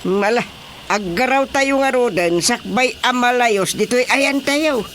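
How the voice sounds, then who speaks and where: A woman's voice: on the high side, unhurried, music in the background, lightly acted. A woman with an Ilocano accent acting an opinionated, chiding village woman in a radio drama comedy. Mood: explaining.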